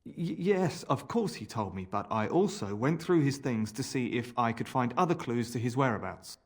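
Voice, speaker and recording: A man's voice: mid-range voice, lively intonation, moderate pace, husky. An actor playing a nervous, evasive research assistant in a fantasy video game, solemn and hesitant in his speech. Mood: nervous, defensive. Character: nervous, evasive male impostor research assistant, gloomy, turning fanatical.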